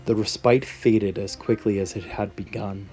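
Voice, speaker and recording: Low-voiced man narrating dramatic fantasy. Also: fairly even intonation, moderate pace, poor audio. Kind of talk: scripted fantasy story narration. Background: background music.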